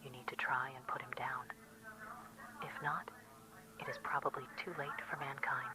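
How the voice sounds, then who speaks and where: A woman narrating a scripted horror story in a breathy whisper. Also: a bass voice, little pitch movement, moderate pace, noisy background.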